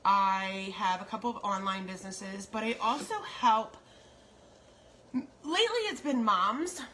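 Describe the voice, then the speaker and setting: A woman's voice: mid-range voice, bright, forceful tone. A middle-aged American woman chatting casually and rambling a little as she cooks for an online audience in her home kitchen. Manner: unhurried, rambling asides while busy cooking. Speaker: home cook and online host. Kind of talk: casual cooking livestream chat. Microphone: phone propped nearby. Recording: noisy kitchen, sizzling pan. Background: frying sizzle and stirring.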